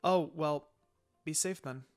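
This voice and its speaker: A man voicing a spirited young female ninja in a story, expressive and quick to tease or snap. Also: a little high, soft background music. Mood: concerned.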